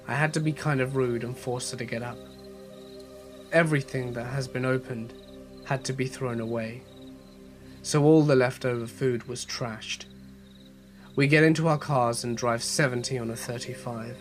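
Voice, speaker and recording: A man with a slight head cold, hosting and narrating a horror story channel in a scripted storytelling style. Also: mid-range voice.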